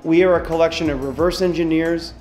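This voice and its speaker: A man's voice: a little high, steady tone, darkish tone, soft background music. Earnest man reading a letter. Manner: measured, earnest delivery to camera. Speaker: company spokesman. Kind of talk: scripted open letter reading. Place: indoor hardware lab.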